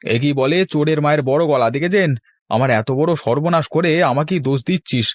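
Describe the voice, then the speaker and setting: A man's voice: on the high side, fairly quick, dry recording, close to an everyday voice. A man voicing an indignant cartoon village man. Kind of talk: Bengali cartoon voice acting.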